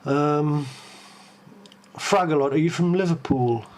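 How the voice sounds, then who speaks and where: A Geordie man with a voice sitting a little above the usual male range. He hosts a live stream and talks his thoughts through, often pausing on ums and restarting sentences. His delivery is unscripted and conversational. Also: a little high, a little mellow. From Tyneside, England. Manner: hesitant, full of ums and restarts, musing aloud. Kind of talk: live tech stream, thinking aloud and answering chat.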